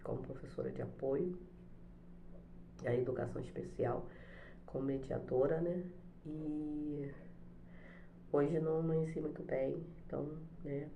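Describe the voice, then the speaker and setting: A middle-aged woman with a cold, hoarse, chatting softly and hesitantly on her home vlog with many fillers. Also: deep voice, fairly even intonation, unhurried, pauses often, roomy sound, highs cut off, slight background noise. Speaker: channel host, special education teacher. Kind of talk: casual devotional vlog chat. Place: home, morning coffee.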